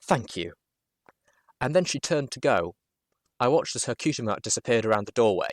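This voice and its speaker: A man narrating a first-person story with expressive, dramatic delivery. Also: medium pitch, fast talker, no echo, studio quality. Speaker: audiobook reader, show host.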